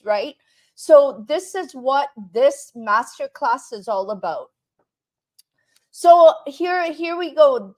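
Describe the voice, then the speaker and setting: Woman from Canada, high voice, unhurried delivery; teacher leading a live online class. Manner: repeats "right?" to check in with viewers. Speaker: English teacher.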